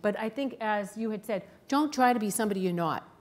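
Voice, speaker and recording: A woman's voice: mid-range voice, fairly quick. A middle-aged woman with a thick Boston accent speaks on a panel. She talks plainly and candidly. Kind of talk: panel talk.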